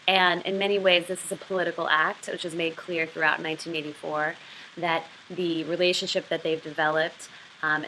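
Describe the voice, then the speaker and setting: A woman teaching a writing lesson, explaining and reading passages aloud in an expressive way. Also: fairly low pitch, a little hiss or hum, rough recording. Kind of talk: literary craft lesson with read-aloud passages.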